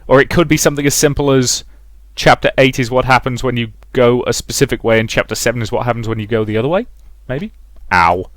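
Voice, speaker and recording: A man's voice: medium pitch, fast talker, hardly any pauses, no echo. A man giving casual gameplay commentary as a let's player, chatting in a rambling, self-questioning way. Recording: game music behind the voice.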